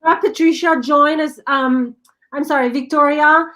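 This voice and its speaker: A woman with a foreign accent chatting casually on a live stream, excitable and quick to laugh, often restarting her sentences. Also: high voice. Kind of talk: casual chat.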